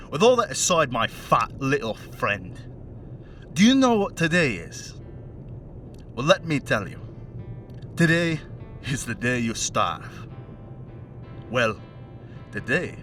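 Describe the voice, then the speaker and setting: Man playing Scottish gangland henchman, put-on accent, sardonic and threatening, swooping mid-range pitch, slightly distant mic, music behind, audio drama. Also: a bit bright. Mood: cold menace. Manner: chatty, sardonic, threatening with drawn-out pauses. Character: menacing, mocking male crime henchman taunting a bound captive. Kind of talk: dramatic audio play monologue.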